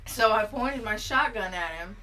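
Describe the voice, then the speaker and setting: A woman's voice: mid-range voice, animated, normal speed, noisy background, lightly acted. A woman playing an earnest, lovestruck former pot farmer who gives mock news testimony in a matter-of-fact, confessional way. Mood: earnest, recounting.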